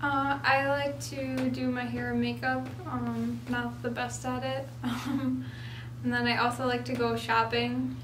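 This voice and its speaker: Flat-voiced young woman, echoey room. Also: fairly high pitch, unhurried, recorded from a distance, noisy background. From Wisconsin. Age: 20s. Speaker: vlogger co-host. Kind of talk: casual chat.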